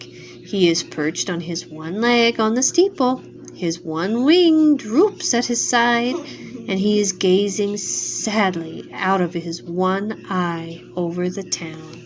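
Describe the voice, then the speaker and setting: An older woman, a grandmother, reading a children's bedtime story aloud in a gentle, expressive way. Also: mid-range voice.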